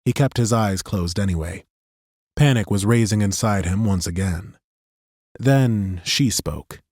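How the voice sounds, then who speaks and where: A man narrating a fantasy audiobook in a measured, steady storytelling manner on a close studio mic. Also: lowish voice, no room sound.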